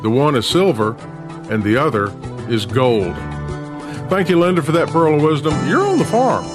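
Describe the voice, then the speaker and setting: A man hosting a farm radio program, reading rural news and sponsor copy in a steady announcer delivery into a studio mic. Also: on the high side, normal speed, music in the background.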